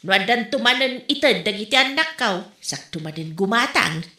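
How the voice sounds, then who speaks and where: A woman's voice: on the high side, animated, normal speed, sharp tone, roomy sound. A woman playing an Ilocano village mother bargaining for a watch.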